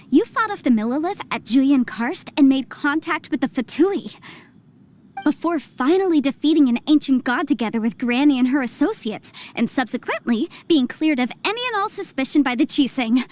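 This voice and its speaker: Young female legal advisor, fantasy game: high, animated, chatty, articulate, keen to sell her services. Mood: eager, chatty. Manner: brisk, articulate, self-promoting patter. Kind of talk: scripted video game acting.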